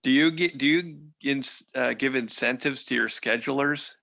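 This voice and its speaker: A man asking casual questions on a group video call in a halting, hedging way. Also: mid-range voice, gravelly, sharp tone.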